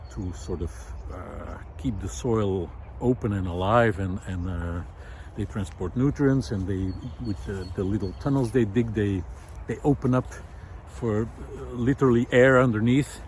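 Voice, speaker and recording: A man speaking in a reflective, unscripted explainer style, hesitant and thoughtful, often pausing mid-sentence as he presents. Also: lowish voice, darkish tone. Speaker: presenter of a nature and philosophy video series. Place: outdoors in a park. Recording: outdoor recording with clear background noise.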